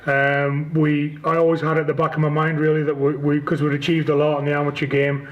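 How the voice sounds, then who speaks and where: Northern Irish man, even-toned and slightly high. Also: fairly quick, dull audio. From Northern Ireland. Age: 40s. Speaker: interview guest, rugby league club chief executive. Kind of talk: casual interview answers.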